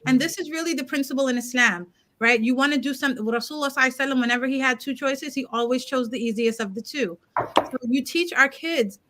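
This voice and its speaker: A woman co-hosting a casual livestream chat, talkative and quick to laugh, correcting herself as she goes. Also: high voice, noisy background. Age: adult parent of a seven-year-old. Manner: chatty, laughs easily, self-correcting. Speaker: co-host.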